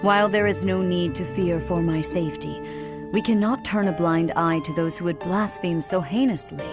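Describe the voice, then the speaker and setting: A woman playing a devout archbishop in a video game, formal, measured and solemn, with serene authority. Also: fairly low pitch, music in the background, lightly acted. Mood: solemn, stern.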